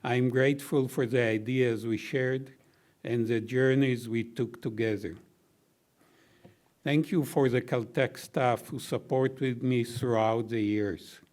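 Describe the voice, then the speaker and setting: An older man with a baritone voice giving heartfelt closing thank-yous at a university reception, measured and gently humorous. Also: steady tone. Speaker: honoree giving closing remarks. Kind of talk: heartfelt formal thank-you speech.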